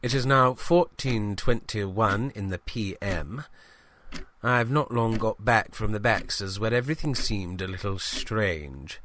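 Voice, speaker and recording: Casting: male actor for self-important village newshound, lower-pitched, stuffy and formal, comic audio fiction narration. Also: fairly bright tone, slight background noise. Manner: pompous, formal, dismissive. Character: pompous, self-important male small-town novice reporter. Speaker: reporter. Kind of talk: scripted comedy narration, journalist's audio diary.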